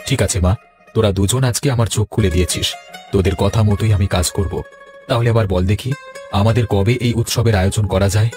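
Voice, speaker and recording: A man's voice: lowish voice, steady tone, fast, a little mellow, faint music behind, lightly acted. A man voicing a kindly, worried older village landlord in a cartoon, earnest and paternal. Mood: warm, agreeable. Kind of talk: Bengali fairy-tale cartoon dialogue.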